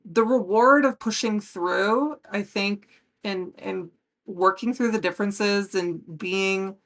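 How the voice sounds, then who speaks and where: A woman's voice: mid-range voice, fairly bright tone. An American woman in her forties speaking as a podcast guest in casual conversation, earnest and emphatic. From United States. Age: 40s.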